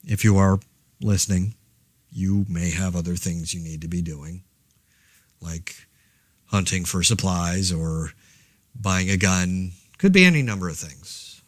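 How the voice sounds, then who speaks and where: An actor playing a laid-back man podcasting alone through a zombie outbreak, rambling in a wry, deadpan, hesitant way. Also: sits low, unhurried, long pauses, dry recording. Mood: resigned, friendly. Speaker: podcast host. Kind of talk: casual monologue, fictional audio diary.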